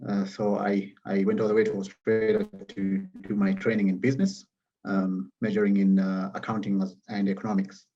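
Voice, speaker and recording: Low-voiced southern African man, muffled audio. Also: level tone, moderate pace, dark tone. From Zambia and Malawi. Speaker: interview guest, magazine founder. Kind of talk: explanatory interview talk.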